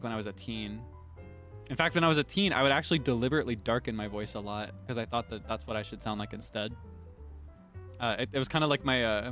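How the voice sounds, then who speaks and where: A young man with an ordinary mid-range voice talks casually to his viewers on a stream. He laughs often and pokes fun at himself. Soft music plays faintly underneath. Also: medium pitch. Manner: chatty and self-deprecating, laughs often. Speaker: virtual streamer. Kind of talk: casual chat answering viewer comments.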